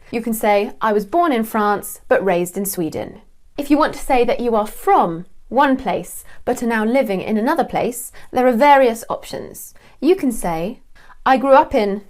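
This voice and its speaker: A young woman from England with a British English accent, teaching an online English lesson with a clear, deliberate delivery. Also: a little high, darkish tone.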